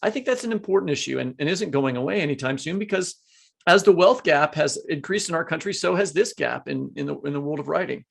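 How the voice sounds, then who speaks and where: A woman's voice: low voice, expressive, fast, creaky, husky. A woman co-hosting a podcast, interviewing in a conversational, playful and wordy way. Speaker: podcast co-host.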